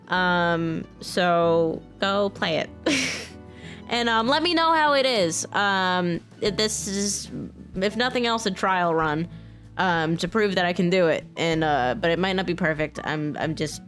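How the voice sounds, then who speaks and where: A woman talking casually in a vlog announcement, informal and halting, often restarting her sentences. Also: lowish voice, fairly bright tone. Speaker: voice actor, software engineer and game modder.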